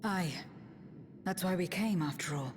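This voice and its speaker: An actress playing a steadfast, earnest fantasy adventurer in a video game, speaking in a measured way. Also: female voice, lowish voice, a little mellow, a little hiss or hum, lightly acted. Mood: sincere. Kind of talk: scripted video game acting. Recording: game music behind.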